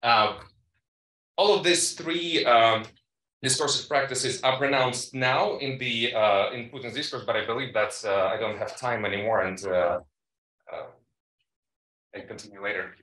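A man presenting research at a conference panel, talking haltingly with many fillers and quick asides to the audience. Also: mid-range voice, sharp tone. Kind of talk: academic presentation. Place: conference room. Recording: echoey room, distant mic.

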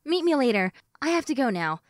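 A woman playing a brisk, businesslike young female trader in an amateur animated movie. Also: sits high, no echo, studio quality.

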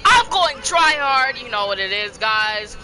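A young man streaming a game, chatting casually and joking loudly with a friend. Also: very high-pitched, big pitch swings, a little slow. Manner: loud, jokey, swings between shouting and mumbling. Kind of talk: casual gaming banter. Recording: noisy game audio behind.

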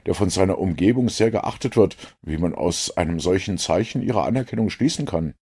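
A man's voice: low-pitched, lively intonation, fairly quick, dark tone, husky, heavily acted. A man voicing an eager, self-satisfied detective's companion in an audiobook, earnest and a little smug.

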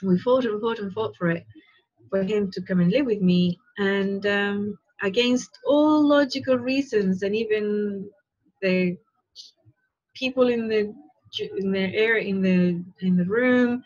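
A middle-aged woman speaks in a medium-pitched voice, as if teaching a small spiritual class informally. She is conversational yet emphatic, punctuating points with little checking questions. The sound is a bit dull and lo-fi, like a headset on a webcam in a small room. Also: unhurried, darkish tone. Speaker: spiritual teacher giving a talk. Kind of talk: informal spiritual talk to camera. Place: small living room, indoors.

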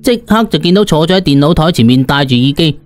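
A man's voice: a little high, lively intonation, quick pace. A man with a Cantonese accent narrating a comic first-person audiobook in a colloquial, theatrical way.

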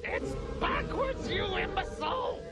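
A hot-tempered robot rooster villain from a cartoon. The voice is piercingly high and swoops about, bossy and scolding, with loud music behind. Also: male voice, expressive, unhurried. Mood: furious. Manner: shrill, scolding, insulting.

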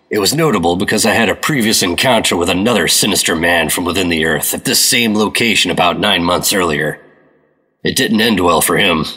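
An actor playing a terse, deadpan 1950s government secret agent in a noir radio drama. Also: male voice, deep voice, husky, heavily acted.

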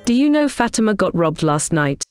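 A woman's voice: medium pitch, big pitch swings, normal speed, clean tone, dry recording, soft background music, natural voice. A woman playing an admonishing mother of a foolish son in a children's cartoon, speaking emphatically. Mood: exasperated. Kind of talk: scripted cartoon dialogue.

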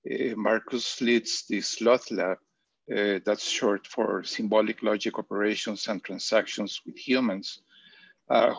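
Middle-aged man, moderator, measured formal intro, low pitch, gravelly, dry lo-fi headset audio. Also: dry recording. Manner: measured, reads introduction with fillers. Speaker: session moderator. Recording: dry, dull video call audio.

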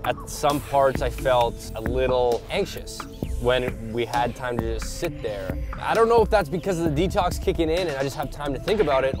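Male vlog host, twenties, casual and animated, colloquial talk with music underneath. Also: mid-range voice. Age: 20s.

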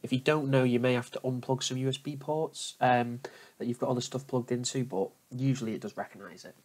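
A man talking viewers through a software tutorial step by step, in an informal, explanatory manner. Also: mid-range voice, fairly even intonation, brisk. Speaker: tutorial host. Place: indoors at a computer, voice over screen capture.

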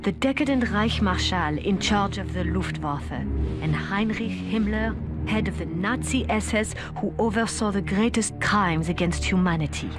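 An actress playing a solemn history narrator, reading deliberate, emphatic documentary-style narration for a war video game. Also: female voice, mid-range voice, close to an everyday voice. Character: female wartime history narrator, solemn and condemning. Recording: music under the voice.

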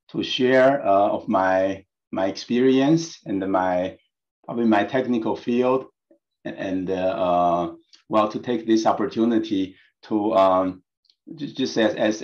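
A man in his late fifties, from Taiwan, talks slowly through his slides with many fillers and restarts. His voice sits a little low. The sound is dull and lo-fi, like a video call. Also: a little mellow. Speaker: guest speaker, engineering professor. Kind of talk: personal talk over slides.

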